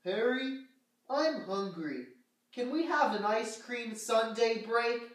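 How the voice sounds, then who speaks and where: A woman's voice: on the high side, slow. A woman as an eager, naive shoe puppet, very echoey. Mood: childlike, hesitant. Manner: simple, wide-eyed questioning. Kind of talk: children's puppet show dialogue. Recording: very echoey room, slightly distant.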